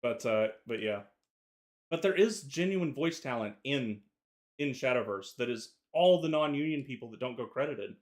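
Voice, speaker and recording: A man talking on a gaming podcast, opinionated and rambling, with lots of fillers. His voice is middle-pitched and ordinary. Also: lively intonation, normal speed. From Southern United States. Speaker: podcast co-host. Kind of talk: casual chat.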